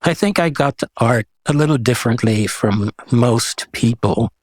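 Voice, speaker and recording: A man's voice: medium pitch, lively intonation, normal speed, dry recording. An older man talks as an interview guest. His delivery is casual and reflective, like someone recounting his own life, with frequent conversational asides. The sound is dry, with little room in it. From Guyana. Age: 70s. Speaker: guest, visual artist.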